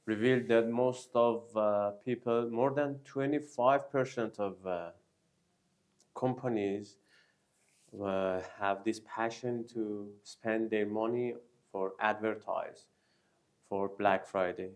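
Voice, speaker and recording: Man, Persian accent, co-host, unhurried, hesitant, many fillers. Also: on the low side, steady tone. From Iran. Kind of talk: casual chat.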